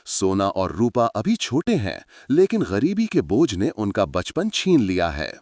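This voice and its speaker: A man's voice: lowish voice, wildly swooping pitch, a little slow, darkish tone, no echo, studio quality. A man narrating a story in a dramatic scripted style, speaking to his listeners as friends.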